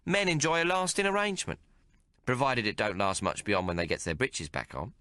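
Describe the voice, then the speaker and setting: A man's voice: medium pitch, a little fast, sharp tone, dry recording, clean studio sound, pitched above the performer's own voice, natural voice. A man reading aloud and voicing a coarse, fiery young female prostitute. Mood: brazen. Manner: coarse, bold, mocking.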